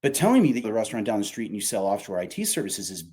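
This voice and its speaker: A man's voice: fairly low pitch, sing-song, rapid-fire, dark tone, breathy edge. A man speaking as a company executive in a casual interview, emphatic, colloquial and opinionated. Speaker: company revenue executive, podcast guest.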